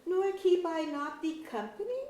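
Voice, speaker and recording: A woman playing a friendly, devoted elf ambassador in an archaic verse drama, expressive in delivery. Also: very high-pitched, normal speed. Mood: earnest appeal. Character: friendly, doting elf ambassador befriending a captive. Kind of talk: staged reading of pseudo-Elizabethan verse drama. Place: museum auditorium, live reading. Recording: echoey auditorium with background hiss.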